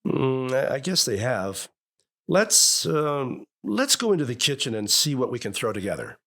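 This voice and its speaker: A middle-aged American family father from a 1950s radio comedy. His voice swings widely in pitch as he questions and takes charge, good-natured yet easily exasperated. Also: big pitch swings, normal speed, fairly bright tone. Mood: affectionate.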